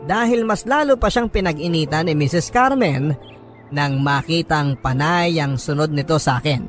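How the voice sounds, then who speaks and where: A man's voice: fairly high pitch, moderate pace, soft background music. A man reading a listener's story as scripted radio drama narration in a solemn, reflective manner.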